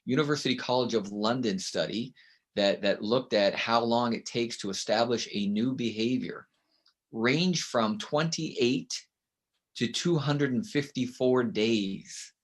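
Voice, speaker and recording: A middle-aged man from Canada with a loud voice, speaking as a guest in an interview. He is emphatic and tells stories, and he often ends his points with a quick "right?". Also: medium pitch. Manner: emphatic, storytelling, with frequent "right?" tags. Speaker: guest author and consultant. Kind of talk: conversational interview.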